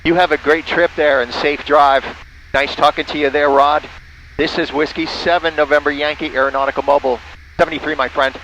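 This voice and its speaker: A man's voice: fairly high pitch, flat delivery, fairly quick, fairly bright tone, low-quality recording. A man narrating a flight casually and making amateur radio calls as a pilot from a small airplane cockpit. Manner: spells out callsigns in phonetic alphabet. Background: faint vehicle engine noise.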